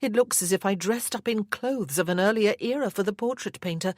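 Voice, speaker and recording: A woman's voice: medium pitch, a little fast, no room sound, studio quality, pitched above the performer's own voice, natural voice. A woman reading the part of a young mother standing up to her captor, firm and earnest. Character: young mother, defiant and composed.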